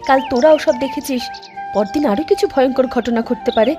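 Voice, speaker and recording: A woman's voice: high voice, fairly quick. A woman voicing a caring, pleading village mother in a horror cartoon. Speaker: voice actor for mother and young girl. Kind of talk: cartoon voice acting. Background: music.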